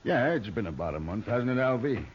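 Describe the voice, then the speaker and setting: An actor playing a callous, scheming outlaw leader in a radio western, with folksy, rustic speech. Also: male voice, mid-range voice, big pitch swings, fairly quick, close to an everyday voice. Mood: smug. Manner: folksy, rustic drawling grammar. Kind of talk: old-time radio western acting. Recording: dull, faintly noisy vintage radio recording.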